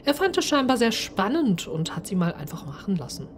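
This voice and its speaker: A woman narrating a first-person story in an expressive, dramatised way. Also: mid-range voice, fairly quick, noisy background. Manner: expressive, acts out the character's speech. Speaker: narrator and player of a solo role-playing game.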